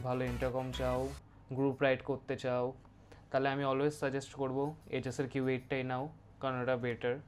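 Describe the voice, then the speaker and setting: A man with a West Bengal Bengali accent giving a casual, instructive hands-on review, mixing in English words. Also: mid-range voice. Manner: informal, instructive, mixes English words into Bengali, often checks with "okay?". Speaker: motovlogger presenting a product review.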